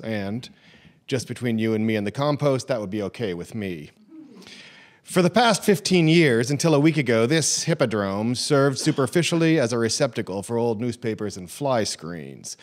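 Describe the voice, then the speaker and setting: Man giving a theatrical comic reading. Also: on the low side. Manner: theatrical, emphatic swells dropping away at phrase ends. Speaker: reader at a live literary program. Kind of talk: dramatic reading of a humorous memoir.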